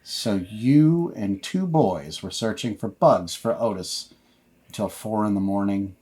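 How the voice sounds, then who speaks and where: A man's voice: on the low side, expressive, measured pace, mellow, slightly rough. Worried dad, acted by a man, stern but loving, hesitant and parental, for a tabletop horror game. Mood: suspicious, earnest.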